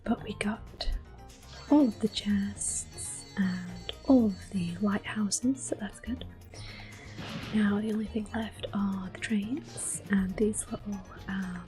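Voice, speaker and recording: Woman, ASMR game host: soft-spoken to whispered, slow and gentle, close mic, music behind. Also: mid-range voice. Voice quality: soft, breathy, often whispered. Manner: gentle, unhurried. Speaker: gameplay host. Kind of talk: ASMR gaming commentary.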